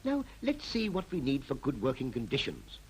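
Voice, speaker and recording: An actor playing a confident, persuasive town planner in a 1940s animated public information film, speaking in an emphatic, explanatory way. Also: male voice, mid-range voice, normal speed, low-quality recording. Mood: brisk, explanatory. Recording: old 1940s film soundtrack, music behind.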